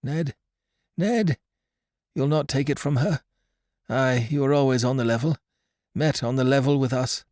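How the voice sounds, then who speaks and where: A man's voice: mid-range voice, unhurried, long pauses, a little mellow, dry recording, studio quality, pitched above the performer's own voice, lightly acted. A man voicing a dying male pirate who gasps out pleas and raves between coughs in a story reading. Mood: raving. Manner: gasping, feverish pleas.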